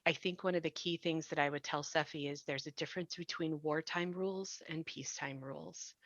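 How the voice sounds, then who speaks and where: A woman's voice: low voice, fairly even intonation, fairly quick, fairly bright tone, no echo. A woman giving reflective advice in a podcast discussion, earnest and reassuring, on slightly dull audio. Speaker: podcast panelist.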